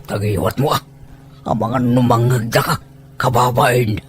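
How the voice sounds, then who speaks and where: A man's voice: medium pitch, fairly even intonation, unhurried, faint music behind, close to an everyday voice. An actor playing a teasing, bossy older village man who coaxes like a matchmaker in a rural audio drama. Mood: scolding.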